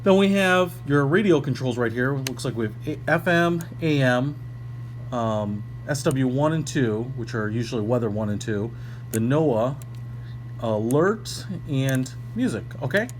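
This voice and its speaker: A man talks through a product in a casual, off-the-cuff unboxing style, chatty and informal. His pitch sits in the middle range, and a clear hum and noise run under the recording. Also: expressive, unhurried. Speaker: product reviewer. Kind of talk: casual unboxing review, ad-libbed.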